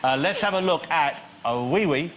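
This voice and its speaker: A man's voice: fairly high pitch, moderate pace, heavy background noise. A man hosting a talk show, teasing and joking with a guest in a TV studio. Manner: cheeky, joking, lively. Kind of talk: teasing late-night chat. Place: television studio. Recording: loud studio audience behind.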